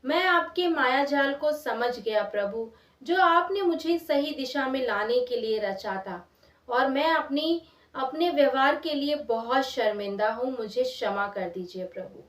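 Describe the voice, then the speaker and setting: A woman's voice: high-pitched, lively intonation, unhurried, a bit bright. A woman storyteller playing a devout male sage, grieving and remorseful, who laments and pleads in repeated phrases. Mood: remorseful pleading. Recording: roomy echo, distant from mic.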